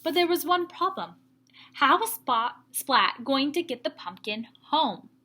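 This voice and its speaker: A woman teacher reading a story aloud to young children, with expressive emphasis and explanatory asides. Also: sits high, unhurried.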